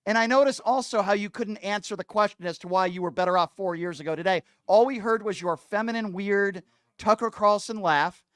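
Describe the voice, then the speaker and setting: A male talk radio host with a notably high, clear voice. He argues combatively and sarcastically, scolding like someone sparring with a caller. The sound is dry and close. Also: brisk, a bit bright, no echo. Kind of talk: political call-in talk show commentary.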